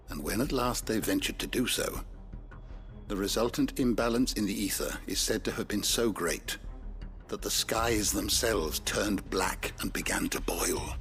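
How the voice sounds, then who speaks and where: An actor playing a learned old scholar in a video game, speaking in a formal, deliberate, erudite manner. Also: male voice, sits low, a bit bright. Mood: grave, scholarly. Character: learned old male scholar, measured and fond of lore. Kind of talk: dramatic video game acting, expository.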